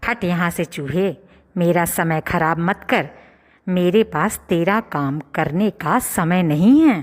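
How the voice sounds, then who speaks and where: A woman's voice: on the low side, takes it slowly, long reverb tail. A woman voicing an irritable, busy male tailor in a children's story who curtly refuses a mouse. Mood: irritated. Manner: curt and irritable.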